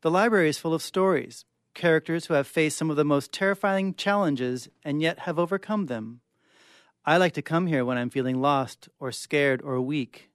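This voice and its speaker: Male guest reader for short fiction, dramatic delivery, slightly high voice, dry studio sound. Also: moderate pace, smooth, dry recording. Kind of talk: dramatic short-story reading. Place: radio studio.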